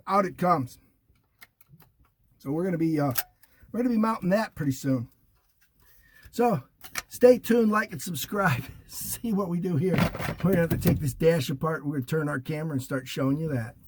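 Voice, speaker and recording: Older man, slightly high voice, DIY vlog host, folksy short phrases, noisy car interior. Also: a little mellow. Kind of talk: casual hands-on install demonstration. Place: inside a parked Jeep.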